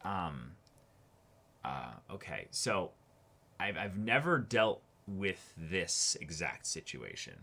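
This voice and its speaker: A man's voice: low voice, sing-song, takes it slowly, pushed and bright. A man co-hosting a casual anime discussion, repeating phrases and acting out imagined quotes as he talks. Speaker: co-host of an anime reaction show.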